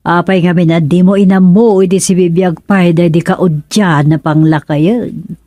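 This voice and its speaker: A woman's voice: fairly low pitch, fairly even intonation, measured pace, warm tone, dry recording, studio quality. A woman playing an anxious queen and wife in a radio drama, questioning in a fretful way. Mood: worried.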